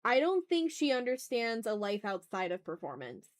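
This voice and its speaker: A woman's voice: fairly high pitch, sing-song, normal speed. A woman chatting casually as an art livestream host, informal and thinking aloud as she draws. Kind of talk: casual livestream chat while drawing.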